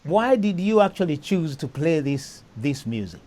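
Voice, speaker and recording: A man's voice: a little high, big pitch swings, moderate pace, a little mellow. A man hosting a casual music interview, talking with enthusiasm and often repeating himself. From East Africa. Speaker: host. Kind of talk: casual chat.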